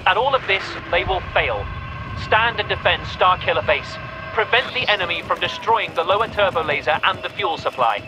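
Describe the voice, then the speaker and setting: An actor playing a stern, zealous military commander in a video game, speaking in a formal, declamatory, menacing way. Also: male voice, high-pitched, expressive, normal speed, background noise. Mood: fervent, commanding. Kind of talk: dramatic video game briefing. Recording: loud music behind.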